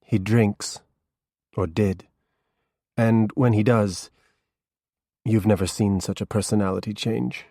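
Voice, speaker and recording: A man's voice: fairly low pitch, fairly even intonation, slow pace, long pauses, warm tone, no echo, clean studio sound. A man reading the remembered words of a confiding woman. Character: gossiping woman recalling a warning.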